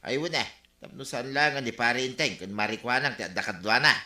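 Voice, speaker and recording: Forceful, conniving village man. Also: mid-range voice, lively intonation, brisk. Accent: Ilocano. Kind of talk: Filipino comedy radio drama acting. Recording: roomy echo, music underneath.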